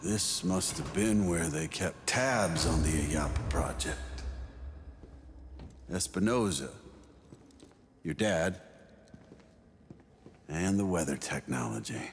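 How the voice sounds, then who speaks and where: A man's voice: sits low, expressive, slow pace, long pauses, soft background music, exaggerated voice. An actor playing a genial, folksy older agency man in a video game, drawling and amused. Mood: intent, musing. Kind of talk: video game cutscene acting.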